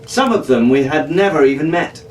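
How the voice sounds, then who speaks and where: Man as an earnest young gentleman, echoey recording. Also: on the high side, animated, normal speed, lightly acted. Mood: sombre. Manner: polite, protective, formal. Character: earnest, gallant, proud young English gentleman adventurer. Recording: echoey room, distant mic, faint hiss.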